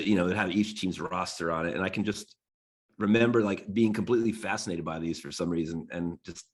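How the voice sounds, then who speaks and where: A deep, low-pitched American man in his forties talks casually to a class. He sounds nasal from a cold and clears his throat often. He rambles, restarts his sentences and leans on fillers. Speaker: guest designer giving a talk. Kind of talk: casual talk to a design class.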